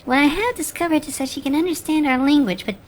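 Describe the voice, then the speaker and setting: A woman voicing an anxious, bookish young female unicorn pony in an animated fan drama, dramatic and emphatic. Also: high voice, fast talker, lightly acted. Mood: matter-of-fact. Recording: music behind the voice. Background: background music.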